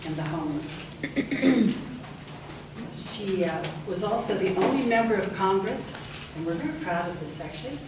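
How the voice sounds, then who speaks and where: A woman's voice: mid-range voice, lively intonation, normal speed. A woman introducing a speaker at an event, reading with frequent "uh"s. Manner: reads with frequent fillers. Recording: echoey room, distant mic, loud noise.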